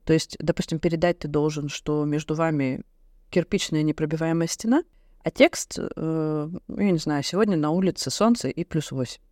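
A woman's voice: low voice, normal speed, warm tone, no echo, studio quality. A woman co-hosting a podcast, chatting casually and expressively with a friend and explaining things with examples. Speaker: podcast co-host. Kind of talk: casual chat between friends.

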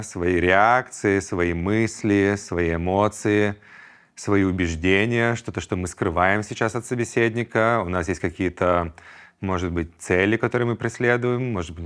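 Deep-voiced man musing on a podcast. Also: fairly bright tone. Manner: reflective, acts out inner voices in quoted asides. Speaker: podcast host. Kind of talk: solo podcast monologue.

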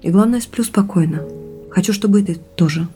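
A woman's voice: medium pitch, fairly quick, warm tone, soft background music, close to an everyday voice. An actress playing a caring, practical wife in naturalistic audio drama, probing and supportive in how she talks. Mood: practical, persuasive.

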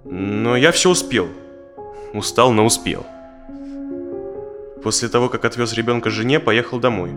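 An actor playing an uneasy therapy client in his thirties in an audio drama, speaking in a halting, reflective way. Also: mid-range voice, music in the background, lightly acted. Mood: reflective, tired. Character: uneasy, tired thirty-five-year-old male therapy client.

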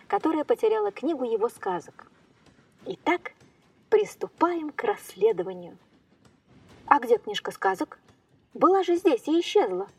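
A woman playing a lively, playful woman turned into a train in a children's fairy-tale podcast. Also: high-pitched, husky, far from the mic, exaggerated voice. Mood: cheerful, welcoming, then flustered. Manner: animated, exclamatory, playful.

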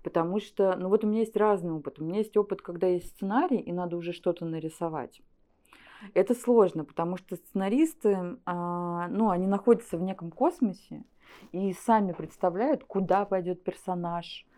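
Woman with a soft, dark, low voice. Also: fairly quick. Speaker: animation studio guest. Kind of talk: conversational interview.

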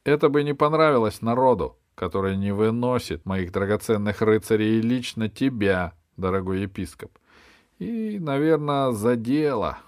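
A man's voice: fairly low pitch, a little mellow, no room sound, studio quality, voice pushed up, lightly acted. A man voicing a pompous, fussy king in a storybook, with grandiose, self-satisfied delivery. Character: pompous, fussy, capricious old-world king.

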